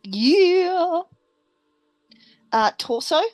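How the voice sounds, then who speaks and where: A woman's voice: very high-pitched, expressive, very slow, no room sound, soft background music. A woman playing a tabletop roleplaying game, chatting casually and thinking aloud hesitantly.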